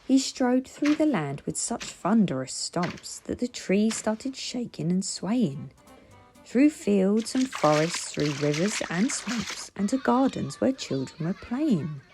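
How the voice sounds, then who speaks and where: A woman narrating a children's storybook aloud in an expressive read-aloud style. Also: medium pitch, slow, music in the background.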